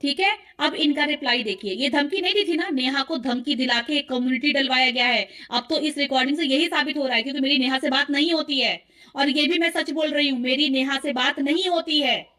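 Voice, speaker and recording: Woman vlogger, high sharp voice, heated commentary, insistent n accusing, talks right at the listeners. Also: fairly quick. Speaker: vlogger presenting call recordings.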